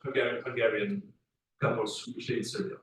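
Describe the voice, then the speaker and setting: A man in the audience asking the presenter probing questions in a measured way. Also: mid-range voice, flat delivery, fairly bright tone. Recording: echoey room, speaker far from mic, faint noise.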